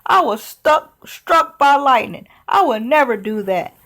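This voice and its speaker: A woman voicing a huge, lonely, misunderstood male dragon in a storybook, slow, plaintive and earnest. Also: sits high, lively intonation, fairly bright tone, voice pushed up, heavily acted. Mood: sad, pleading.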